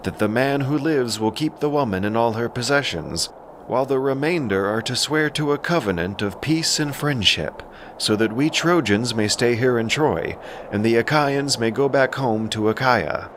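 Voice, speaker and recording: An actor playing a formal royal herald, making solemn proclamations in an epic audio drama. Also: male voice, mid-range voice, moderate pace, a little hiss or hum, natural voice. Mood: solemn, proclaiming.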